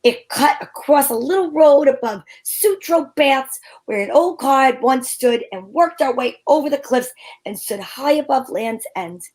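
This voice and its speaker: A woman's voice: high voice, animated, measured pace, darkish tone. A woman playing a plucky, curious female private detective in an amateur old-time radio drama, wry and wisecracking. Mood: wry narrating.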